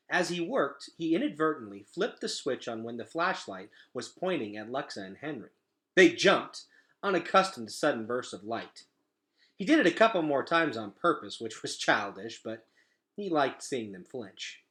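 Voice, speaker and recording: A man's voice: medium pitch, a bit bright. A man reading a storybook aloud expressively, like a teacher.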